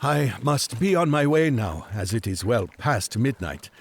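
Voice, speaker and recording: An actor playing an earnest, devout Magian traveler on an urgent quest, speaking in solemn, formal, old-fashioned language for an audio drama. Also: male voice, mid-range voice, lively intonation, unhurried.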